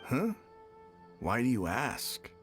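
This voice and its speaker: A man's voice: mid-range voice, wildly swooping pitch, slow, faint music behind, full character voice. An actor voicing a casual, self-justifying male gate guard in a video game. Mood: wary, musing.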